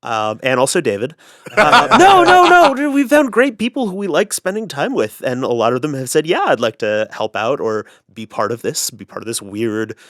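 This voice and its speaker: Mid-pitched man, close dry recording. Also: very animated, normal speed, a bit bright, no echo. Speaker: podcast guest, audio drama creator. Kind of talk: casual group interview.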